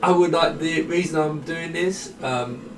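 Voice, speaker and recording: The sound is roomy and a little distant, with faint noise behind it. A man with a creaky edge to his voice talks through a product demonstration. He is casual and unscripted, thinking aloud and putting himself down as he goes. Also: fairly high pitch, a little slow. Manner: rambling, self-deprecating, thinking aloud. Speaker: hardware designer demonstrating a product.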